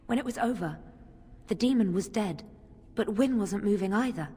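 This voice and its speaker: A woman's voice: medium pitch, animated, normal speed, mellow, breathy edge. A woman playing an anxious, earnest young mage in a video game, speaking with hesitant worry. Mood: shaken. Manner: worried, hesitant. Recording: long hall reverb.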